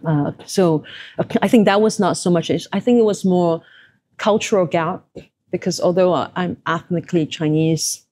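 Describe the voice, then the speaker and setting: A woman's voice: on the low side, moderate pace, a little mellow. A middle-aged woman from Southeast Asia, a former executive, answering interview questions in a reflective, conversational way with frequent fillers.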